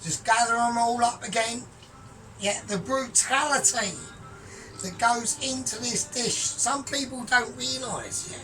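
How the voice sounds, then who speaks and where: A man talking through his cooking in a rambling, grandiose, sweary way, often trailing off quiet. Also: very high-pitched, a little slow. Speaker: home cook vlogger. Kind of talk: casual cooking vlog. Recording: distant mic with music behind.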